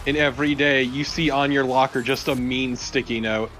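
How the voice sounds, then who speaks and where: A man's voice: mid-range voice, fairly even intonation, normal speed, fairly bright tone. Hyperbolic male gamer over faint music. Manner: joking, hyperbolic ranting. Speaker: let's play co-commentator. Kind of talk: casual gaming commentary.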